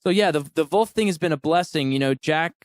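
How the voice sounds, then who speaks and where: A man's voice: on the high side, fairly quick, dry recording, clean studio sound. A man in his thirties, a musician guest talking candidly in a casual interview and laughing often. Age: 30s.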